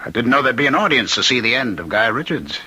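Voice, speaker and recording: An actor playing a taunting condemned killer in an old radio drama, mocking and theatrically calm. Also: male voice, medium pitch, fast talker, natural voice. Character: taunting condemned killer, man claiming to be immortal Ripper. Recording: noisy old radio recording with clicks.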